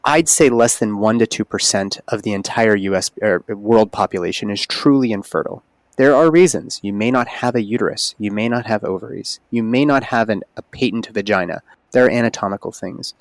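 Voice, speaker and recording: Deep-ish guy with some vocal fry, doctor on a podcast, earnest and explainy, dry close sound. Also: brisk, no room sound. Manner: earnest, explanatory, animated emphasis. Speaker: holistic OB-GYN guest. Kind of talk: conversational podcast interview.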